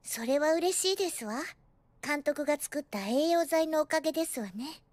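Anime schoolgirl: prim, cheeky, ladylike airs, high and very animated. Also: normal speed, no room sound. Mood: polite, bright. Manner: affectedly ladylike, prim phrasing. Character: prim young girl, cheeky, guarded. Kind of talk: anime drama dialogue.

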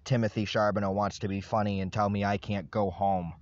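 Loud ranting young man, low voice. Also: flat delivery, a little fast, dry recording, highs cut off. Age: young adult. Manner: loud, agitated, rambling rant. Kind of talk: ranting selfie vlog. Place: inside a parked vehicle. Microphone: phone at arm's length.

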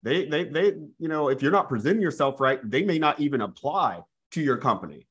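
A man's voice: medium pitch, brisk. A man in his thirties giving a live real estate coaching webinar over a video call, talking energetically and often calling out to his audience. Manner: energetic, repeats "guys", restarts phrases. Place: small room indoors. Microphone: earphone mic on video call.

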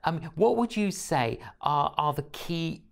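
An older man hosting an interview in a conversational, deliberate way, repeating phrases for emphasis and readily agreeing. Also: fairly high pitch, expressive, vocal fry. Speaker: interview host. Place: small indoor studio room.

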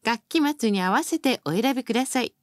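A woman's voice: a little high, animated, a little fast, no echo, studio quality. A woman reading scripted bus announcements as a recorded announcer, in a formal, polite and carefully enunciated manner.

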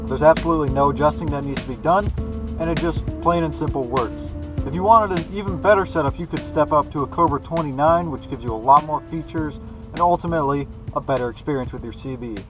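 A man's voice: fairly high pitch, quick pace. A man in his twenties giving a casual how-to explanation to his viewers. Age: 20s. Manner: casual, addresses viewers as guys. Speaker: host. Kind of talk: how-to vlog, explanatory talk to camera. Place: outdoors by and inside a parked or moving car. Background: music.

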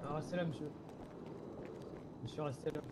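A man's voice: on the high side, steady tone, moderate pace. A man playing a police officer in an online roleplay, questioning insistently and formally over noisy voice chat. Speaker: player acting as a police officer.

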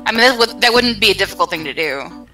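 Woman gamer chatting, really bright voice, bit far from the mic, faint music. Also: mid-range voice, lively intonation, quick pace. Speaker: player in a group gaming stream. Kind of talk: casual chat.

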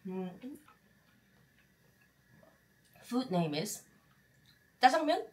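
A young woman with a Korean accent, chatting casually while she cooks and eats at a table at home. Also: mid-range voice. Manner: chatty, mixes in Korean phrases. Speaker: cooking vlogger. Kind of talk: casual cooking chat. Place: home kitchen table, indoors. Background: frying and sizzling from the pan.

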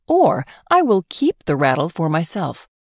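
A stubborn young storybook swineherd, voiced by a woman, calm and sure of himself. The speech is dry and close, with a lively rise and fall. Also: fairly low pitch, unhurried, dry recording, exaggerated voice. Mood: firm, unbothered. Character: self-assured, stubborn young man, a prince as swineherd.

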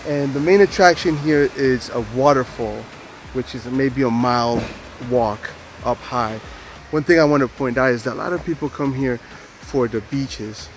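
A man in his forties talks straight to a handheld camera while walking around outdoors, loose and rambling, leaning on fillers and restarts. His voice sits at a middle pitch, and faint background noise runs under it. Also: normal speed. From United States. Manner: rambling, filler-heavy, friendly aside-style talk. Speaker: travel vlogger. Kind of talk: casual vlog narration to camera. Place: outdoors at a rainforest park.

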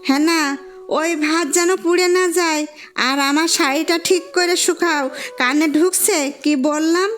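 A woman plays a harsh stepmother in a family cartoon. Her voice is high and scolding, with music under it. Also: steady tone, moderate pace, a bit bright. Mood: angry scolding. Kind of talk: cartoon voice acting.

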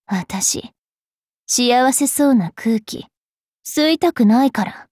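A woman playing a haughty, mischievous young vampire fairy priestess in an anime game, brash and teasing in a rough-spoken way. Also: on the high side, animated, slow pace, a little mellow, no echo, studio quality. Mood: demanding, aloof. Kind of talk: anime game character voice lines.

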